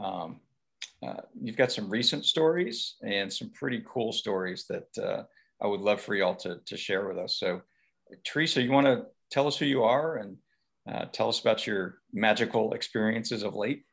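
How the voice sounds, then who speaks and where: A man's voice: lowish voice, creaky, a bit bright, husky. A man hosting a video call, introducing his guests hesitantly with many ums and uhs. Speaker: host. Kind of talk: casual chat on a call.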